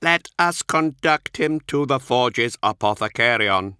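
A man's voice: medium pitch, lively intonation, sharp tone, smooth, no echo, clean studio sound, pitched above the performer's own voice. Man putting on a harsh robotic tech priest. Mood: measured. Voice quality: harsh, atonal, strangely inflected. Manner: slow, clipped, precise delivery. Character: synthetic-voiced male tech priest, formal and cold.

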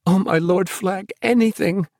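A man's voice: sits high, unhurried, darkish tone, no echo, clean studio sound, voice pushed up. A man voicing a humble, fearful middle-aged midwife in a storybook, gasping and pleading with deference. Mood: overjoyed gasping.

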